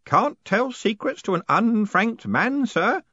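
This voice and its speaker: A man voicing an elderly, deferential postman in an audiobook, eager and conspiratorial, with a hoarse edge. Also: high-pitched, huge pitch swings, moderate pace, a bit bright, no room sound, dull audio, voice pushed up, exaggerated voice. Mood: solemnly helpful. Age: older. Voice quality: hoarse whispering. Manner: servile, punctuating speech with "sir".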